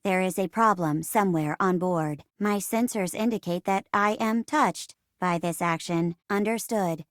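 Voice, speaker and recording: A woman voicing an earnest, logical robot in a theatrical space drama game, speaking in a stilted, deliberate way. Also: fairly low pitch, no room sound, clean studio sound, close to an everyday voice. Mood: measured, logical. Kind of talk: theatrical sci-fi game voice acting.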